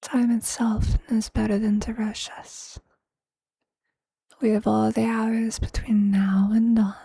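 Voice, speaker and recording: Performer as a motherly vampire lover, ASMR whisper, dark soft tone, very dry close sound, possessive, soothing, poetic. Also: mid-range voice, level tone, gravelly, breathy edge, dry recording, exaggerated voice. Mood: tender, possessive. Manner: slow, intimate, soothing, formal poetic phrasing.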